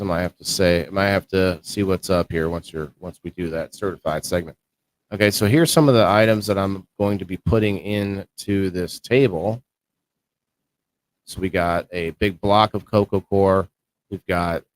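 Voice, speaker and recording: Male co-host, low voice, dry close mic, laid-back monotone livestream chat. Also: dry recording, rough recording. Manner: low-key, self-described monotone delivery. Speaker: co-host of a live-streamed indoor growing show.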